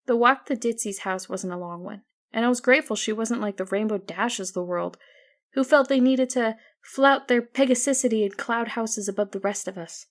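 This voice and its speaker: Female audiobook narrator for first-person fiction, higher register with noticeable vocal fry. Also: normal speed.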